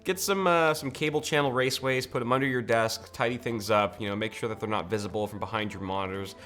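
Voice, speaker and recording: A man's voice: medium pitch, quick pace, a bit bright. An American man in his thirties giving casual, joking, conversational commentary as a tech video host. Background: background music.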